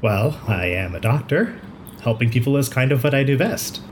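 An actor, a man, playing a kindly, brisk and chatty pathologist in an audio drama. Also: mid-range voice, lively intonation, slight background noise, natural voice. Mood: kind, friendly. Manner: chatty, considerate, thinks aloud. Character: kindly, brisk hospital pathologist, nonbinary, friendly and curious.

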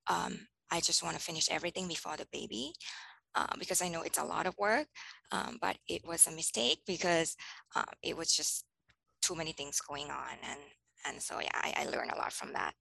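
A woman's voice: on the low side, creaky, fairly bright tone, dry recording, low-quality recording. A woman in her thirties from Thailand, a teacher answering interview questions thoughtfully, with frequent ums and restarts. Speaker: teacher guest.

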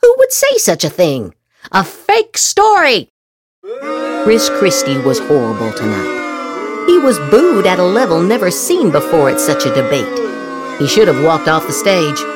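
A woman's voice: fairly low pitch, huge pitch swings, a little slow, over loud music. A woman podcast host reading political social media posts aloud dramatically, with theatrical, exaggerated inflection.

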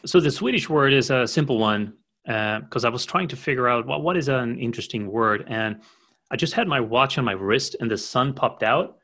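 A man of middle pitch co-hosting a podcast. He sounds relaxed and friendly, and explains things with a dry, light humor. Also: moderate pace. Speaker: podcast co-host. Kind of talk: casual technical chat.

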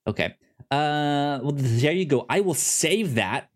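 Podcast host just chatting, slow stop-start talker, pitch moves around a lot. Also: lively intonation. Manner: halting, repeats words mid-sentence. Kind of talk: casual chat about TV.

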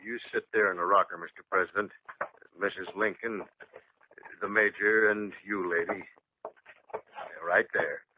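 A man playing a plain, fidgety bodyguard to a president in a 1950s radio drama, brisk, clipped and informal. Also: low voice, fairly even intonation. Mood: brisk, officious. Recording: noisy, narrow-band 1950s radio recording.

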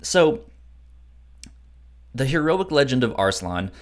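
Slow talking podcast co-host, pitch moves around a lot, kinda halting, rephrasing as they go, casual chat. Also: very animated. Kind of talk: casual book discussion chat.

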